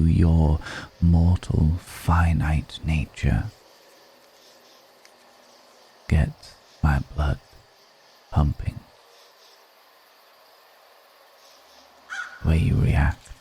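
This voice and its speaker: A man playing an ancient, world-weary, seductive vampire in an intimate ASMR roleplay, speaking in a deliberate, brooding way with long pauses. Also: rumbling low, vocal fry, dark tone, slight background noise, exaggerated voice. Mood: seductive, intrigued.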